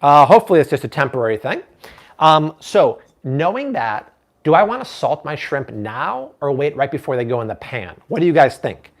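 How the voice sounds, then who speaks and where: A man's voice: a little high, big pitch swings, moderate pace. A man in his thirties hosting a live cooking stream from a home kitchen, chatting with viewers and reading their comments. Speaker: cooking show host.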